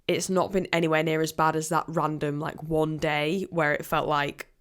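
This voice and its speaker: A woman hosting her own health podcast, talking alone in a chatty, rambling way with a voice a bit croaky from a recent illness. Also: low-pitched, little pitch movement, moderate pace. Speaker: podcast host. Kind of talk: solo health podcast talk.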